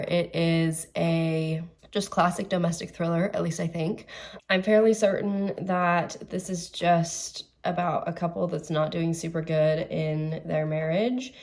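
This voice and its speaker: A woman's voice: fairly low pitch, darkish tone. A young woman in her twenties with a cold, congested and coughing, chatting emphatically in a casual reading vlog. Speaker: book vlogger.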